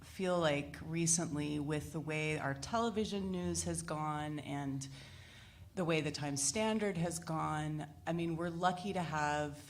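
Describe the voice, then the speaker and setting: Casting: woman panelist, low pitch, informal discussion style, slight room noise in the recording. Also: measured pace. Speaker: radio panelist. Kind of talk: casual panel discussion talk. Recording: noisy room ambience.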